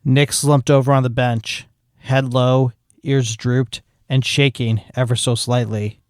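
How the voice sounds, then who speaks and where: A man narrating a fan fiction story in a steady, matter-of-fact manner. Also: medium pitch, measured pace, smooth, no room sound, studio quality.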